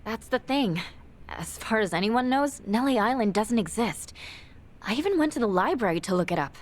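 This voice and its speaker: An actress playing a spirited, encouraging young woman companion with a husky voice in a video game. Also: on the high side, expressive, normal speed, natural voice. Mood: frustrated.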